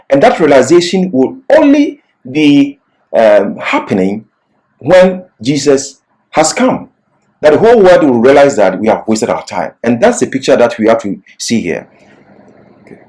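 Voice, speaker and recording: Man teaching scripture with lively, swinging pitch. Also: rough recording. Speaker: Bible teacher. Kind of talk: expository Bible lecture.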